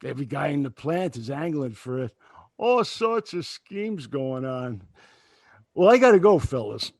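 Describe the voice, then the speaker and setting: An actor playing a chummy, gossipy factory coworker in an old-time radio sitcom. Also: male voice, a little high, big pitch swings, measured pace, dry recording, studio quality, heavily acted.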